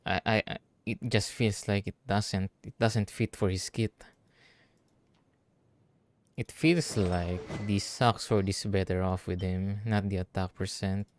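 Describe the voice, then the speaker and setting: Low-voiced Filipino man streaming a game. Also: fairly even intonation, a little slow, slight background noise. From Philippines. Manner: musing, thinking aloud. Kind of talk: casual livestream game commentary. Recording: game music behind the voice. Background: video game music.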